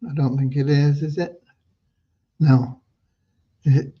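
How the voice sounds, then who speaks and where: A slightly hoarse man hosting an informal livestream update for members, talking in a rambling, halting way with hesitant repeats. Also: fairly high pitch, little pitch movement. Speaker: host giving business updates to members. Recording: dull, lo-fi online audio.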